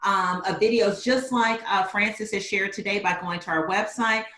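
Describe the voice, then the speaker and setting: A woman's voice: medium pitch, steady tone, normal speed, bright, forceful tone, roomy sound. A woman interview host thanking her guest and closing the show in an appreciative way, with some fillers.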